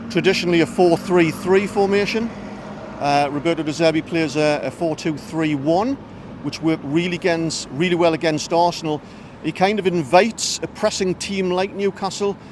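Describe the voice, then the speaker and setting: A man's voice: sits high, normal speed, slight background noise. A man interviewing football fans outdoors, talking tactics in an eager, pundit-like way. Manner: eager, punditry-style questions.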